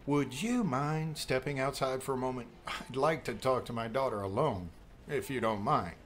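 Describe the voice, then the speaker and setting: A man's voice: mid-range voice, moderate pace, slight background noise, lightly acted. Man acting protective, wryly teasing father. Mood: stern, polite. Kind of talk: audiobook drama acting.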